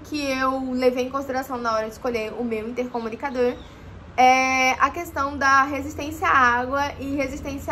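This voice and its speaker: Chatty young woman vlogger, high voice. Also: a bit bright, a little hiss or hum. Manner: chatty, often says "gente", many fillers. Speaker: motorcycling vlogger. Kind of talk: casual to-camera tips. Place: living room, indoors.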